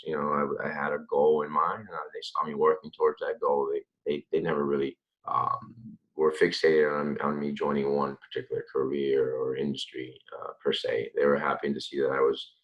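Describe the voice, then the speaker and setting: A man in his thirties from the Dominican Republic, answering interview questions in a relaxed, rambling way with many fillers and restarts. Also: extremely low pitch, brisk, lo-fi sound. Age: 30s. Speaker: interview guest, real estate developer.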